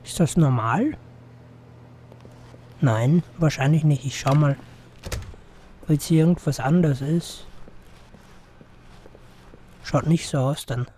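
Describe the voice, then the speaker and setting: A hoarse man hosting a let's play, commentating casually with rambling, mumbled asides, sitting close to the mic. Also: a little high, slow, long pauses, dark tone, a little hiss or hum. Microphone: close to the microphone.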